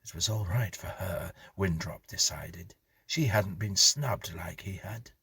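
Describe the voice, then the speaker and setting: Very husky, low-pitched male horror narrator. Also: a little slow, fairly bright tone, no echo, studio quality. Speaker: narrator, story reader. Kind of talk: scripted horror story narration.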